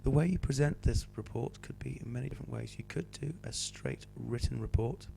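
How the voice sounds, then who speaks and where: A male teacher with a cold, hoarse and croaky, patiently talking students through a homework sheet. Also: mid-range voice, a little fast, mellow, a little hiss or hum. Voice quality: croaky, slips into whispery breaks. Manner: patient, explaining step by step. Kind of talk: homework help walkthrough.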